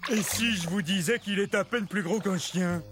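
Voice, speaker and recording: A man voicing a cheeky talking fish in a children's cartoon, with loud music behind. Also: sits high, moderate pace, lightly acted.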